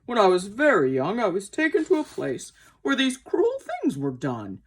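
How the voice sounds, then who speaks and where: A woman's voice: low voice, very animated, measured pace, husky, full character voice. Woman voicing an indignant old horse, swooping and emphatic. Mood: bitter. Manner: emphatic, aggrieved, dramatic. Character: old male horse, gentle but indignant.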